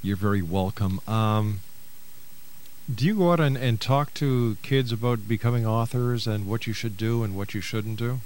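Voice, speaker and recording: A man's voice: lowish voice, moderate pace, a little mellow, poor audio. A man hosting a radio talk show in a chatty, courteous, slightly hesitant conversational way. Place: radio studio.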